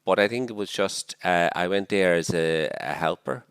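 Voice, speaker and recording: A man's voice: low-pitched, moderate pace, a bit bright, clear, resonant tone, dry recording, studio quality. A man in his sixties with a Dublin Irish accent, a priest being interviewed, talking reflectively with many fillers and restarts. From Dublin, Ireland. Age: 60s. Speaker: priest guest.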